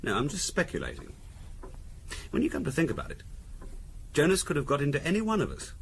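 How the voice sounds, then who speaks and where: Very animated, musing husband. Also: male voice, a little high, vocal fry, heavily acted. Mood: musing, speculative. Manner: musing, deliberate, conversational. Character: speculating middle-class husband, calm and quietly insinuating. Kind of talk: 1970s radio drama acting. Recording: noisy 1970s radio recording.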